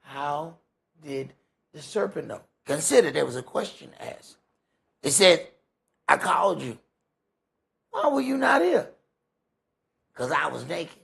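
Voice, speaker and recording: Gravelly, husky preacher. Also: male voice, fairly high pitch, very animated, unhurried, pauses often, fairly bright tone. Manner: emphatic, rhetorical questions, folksy phrasing. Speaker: preacher leading a Bible study. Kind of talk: conversational sermon with scripture commentary.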